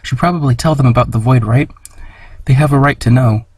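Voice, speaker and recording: A man with a husky voice casually reading audience questions aloud for a comic dub. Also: mid-range voice, fairly quick, darkish tone, no echo.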